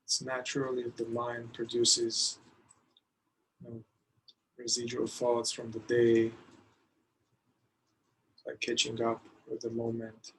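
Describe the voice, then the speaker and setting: A man leading a guided meditation, giving soothing, unhurried instructions with long pauses between phrases. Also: mid-range voice, completely flat delivery, a bit bright, slight background noise, rough recording.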